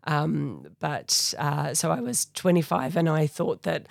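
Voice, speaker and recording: A woman's voice: low-pitched, unhurried, gravelly, no room sound, clean studio sound. A middle-aged woman with a New Zealand accent, a charity chief executive speaking thoughtfully and earnestly as a podcast guest. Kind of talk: conversational interview.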